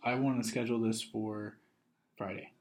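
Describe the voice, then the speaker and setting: A man giving step-by-step instructional narration as a software trainer, in a methodical way. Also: fairly low pitch, little pitch movement, normal speed.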